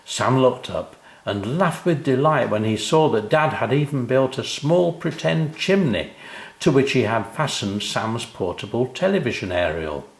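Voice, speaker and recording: An older man narrating a children's story, unhurried and expressive, speaking directly to young listeners. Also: mid-range voice, creaky, echoey room.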